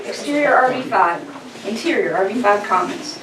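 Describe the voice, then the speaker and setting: A woman's voice: medium pitch, expressive, normal speed, noisy background. A woman reading stage directions as the narrator at an informal table read. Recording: distant echoey room mic, chatter behind.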